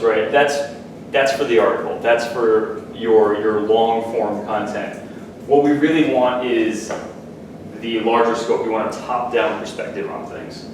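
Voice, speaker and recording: A man's voice: fairly low pitch, a little mellow. Man, workshop presenter, casual teaching talk, far from the mic, very echoey room, audible noise. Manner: conversational, checks in with listeners.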